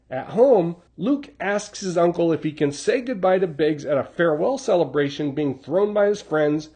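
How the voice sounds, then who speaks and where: Man, scripted recap narration, high-pitched, very varied intonation, soft dark tone. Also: husky. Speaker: narrator, comics channel host.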